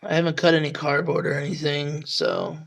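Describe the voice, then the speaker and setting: A man with a raspy, hoarse voice, rambling casually about a knife with lots of fillers and swearing. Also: on the high side, flat delivery, fairly quick, a bit bright. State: hoarse at night. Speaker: knife reviewer. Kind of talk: casual talk to camera.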